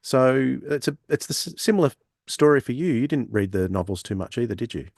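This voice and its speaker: A man's voice: medium pitch, animated, fairly quick, gravelly, darkish tone, no room sound, studio quality. A man hosting a fan podcast in a reflective, conversational style. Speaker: podcast host. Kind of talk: reflective casual fan chat.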